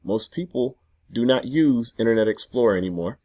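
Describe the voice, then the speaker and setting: A hoarse man in his thirties talking casually to camera from his home garage about online marketing. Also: medium pitch, lively intonation, moderate pace, warm tone. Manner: chatty, loose, self-interrupting. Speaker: affiliate marketing vlogger.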